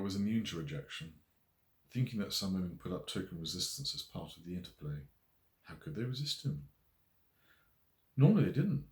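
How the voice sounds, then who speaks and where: Deep-voiced man narrating in an echoey room. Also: a little mellow. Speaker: author reading own novel. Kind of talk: scripted audiobook narration. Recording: roomy, echoey voice recording.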